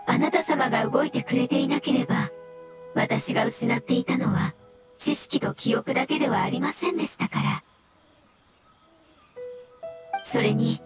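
A woman voicing a sorrowful, courteous floating fungus creature in a video game, speaking formally, humbly and haltingly. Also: sits high, natural voice. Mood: grateful, reverent.

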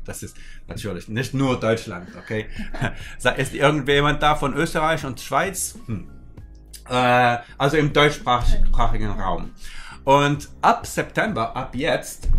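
A man in his forties hosting a livestream from a dark studio, speaking hesitant German full of fillers and restarts. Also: fairly low pitch. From United States. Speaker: host.

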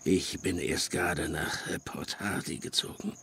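A man's voice: low-pitched, a little slow, gravelly, sharp tone, slight background noise, heavily acted. An actor playing a flustered man new in town, stammering apologetically. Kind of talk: audio drama acting.

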